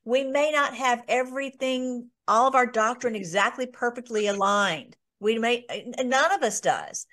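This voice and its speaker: A woman's voice: fairly high pitch, normal speed, hardly any pauses, a bit bright. A woman hosting an informal online Bible study, talking in a rambling way with many restarts and fillers.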